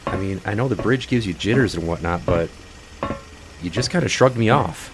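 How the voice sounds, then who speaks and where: An actor playing an easygoing, cheerful rig worker and buddy in casual audio drama dialogue. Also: male voice, low-pitched, moderate pace. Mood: baffled. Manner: informal, buddy-like.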